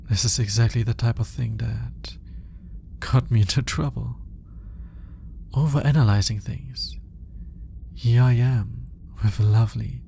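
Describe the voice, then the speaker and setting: A man's voice: medium pitch, vocal fry, mellow, slight background noise. A man playing an awkward, analytical alien scientist in an intimate ASMR roleplay, speaking in formal, hesitant musings. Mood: musing, self-doubting. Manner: hesitant, formal, articulate musing with sighs and pauses. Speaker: voice actor.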